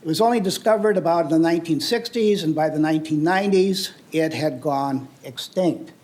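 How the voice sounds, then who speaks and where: A man's voice: fairly high pitch, a little fast, a little mellow. Older American man giving a slide talk. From United States. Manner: earnest, explanatory, frequent "uh" and restarts. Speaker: presenter on travel and sustainability.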